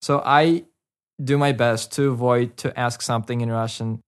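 A man's voice: mid-range voice, fairly even intonation, moderate pace, smooth. Hesitant man. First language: Karachay. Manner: hesitant, many fillers and restarts. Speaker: guest. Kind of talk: casual conversational interview.

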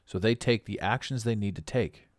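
Deep raspy man, blunt and preachy. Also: normal speed, no room sound, studio quality. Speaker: podcast host. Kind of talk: motivational monologue.

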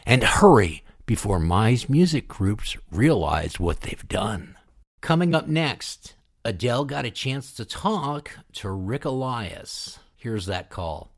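A man's voice: fairly low pitch, very animated, slow pace, vocal fry, no room sound, clean studio sound. A man hosting a music podcast, reading links and sponsor messages in a scripted radio style. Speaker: podcast host.

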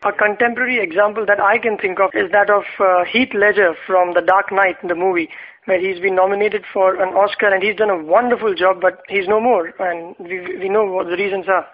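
A man's voice: high-pitched, steady tone, brisk, narrow, tinny audio, slight background noise. A man hosting an interview, asking long questions in a hesitant way with frequent "uh" fillers. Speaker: interviewer, host.

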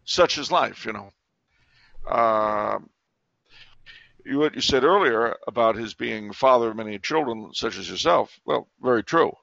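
A man's voice: mid-range voice, expressive, measured pace, creaky, fairly bright tone, no room sound, lo-fi sound. A man speaking as an author guest in a casual interview, hesitant, with many fillers and restarts.

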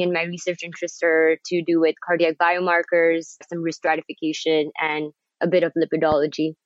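A woman's voice: on the low side, no echo, lo-fi sound. A young woman from Pakistan introducing herself conversationally as a podcast guest. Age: young adult. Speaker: podcast guest, cardiology fellow.

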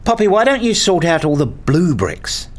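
A man's voice: a little high, big pitch swings, normal speed. A man reading scripted first-person narration for an audio play, slipping into acted dialogue as a father.

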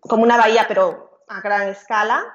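A woman's voice: mid-range voice, steady tone, a little fast. Woman in a roomy room. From Vigo, Galicia, Spain. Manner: chatty, hesitant fillers, light laughs. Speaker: interview guest, teacher. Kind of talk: casual conversational interview. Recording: roomy, dull lo-fi sound.

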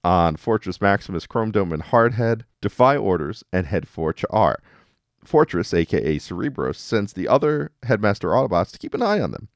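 A man hosts a casual fan podcast in a fairly low voice. His intonation is very animated and he talks in a conversational, enthusiastic way. The sound is close and dry. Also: moderate pace, no echo. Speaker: podcast host. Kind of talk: casual fan podcast, recapping cartoon episodes. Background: music under the voice.

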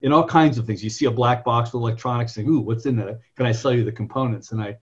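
A husky-voiced man in his fifties, originally from California, talks at length as an interview guest, wandering through anecdotes and often correcting himself mid-sentence. His voice sits at an ordinary pitch, and the recording sounds dull and muffled. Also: fast. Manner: rambling, self-correcting, anecdotal. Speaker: guest, software entrepreneur. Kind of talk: conversational interview storytelling.

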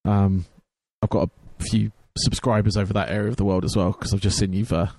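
A hoarse young man hosting his radio show and podcast, chatting casually with lots of ums and restarts. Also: lowish voice, steady tone, brisk, dark tone. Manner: rambling, many ums and restarts. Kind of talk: casual chat.

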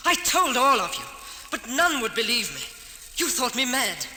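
A man's voice: very high-pitched, big pitch swings, moderate pace, pushed and bright, exaggerated voice. An actor playing an earnest, guilt-ridden young pauper mistaken for king, in formal old-time radio drama style. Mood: urgent, pleading. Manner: formal, archaic, impassioned delivery. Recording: noisy old radio recording, long reverb.

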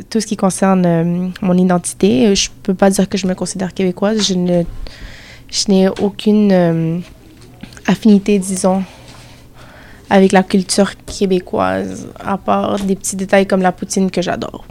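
Young woman, halting, soft ambient noise. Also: medium pitch, flat delivery, gravelly. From Quebec, Canada. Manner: thoughtful, halting, with fillers. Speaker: student guest. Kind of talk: casual group discussion.

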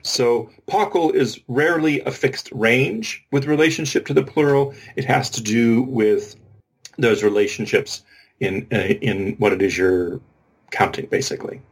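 A man's voice: mid-range voice, a little slow, husky, poor audio. A man co-hosting a podcast, explaining methodically and informally with examples and asides. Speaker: podcast co-host. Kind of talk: informal explanatory discussion of linguistics.

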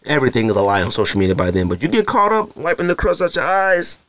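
Animated, joking male podcast host. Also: fairly high pitch, quick pace, no echo. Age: adult, married. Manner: animated, joking, direct address to listeners. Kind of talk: casual solo podcast chat with ad read.

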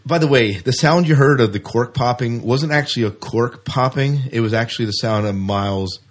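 A man's voice: mid-range voice, fairly quick. A man co-hosting a podcast in casual, chatty banter, with a jokey way of talking. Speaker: podcast co-host.

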